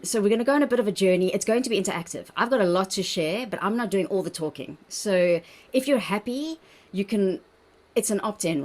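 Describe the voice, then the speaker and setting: A woman with a South African accent, a dietitian leading an online wellbeing workshop on a video call in an encouraging, chatty manner. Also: fairly low pitch. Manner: warm, encouraging, chatty with laughs. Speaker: workshop presenter, registered dietitian.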